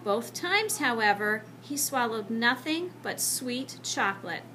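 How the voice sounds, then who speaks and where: A woman reading a children's book aloud as an expressive narrator. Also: a little high, unhurried, a little hiss or hum, rough recording. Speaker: storybook reader.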